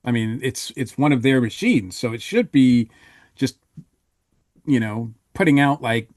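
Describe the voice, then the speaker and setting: A man in a casual review discussion as a podcast panelist, talking haltingly with trailing restarts. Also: medium pitch, expressive, darkish tone, dry recording, clean studio sound.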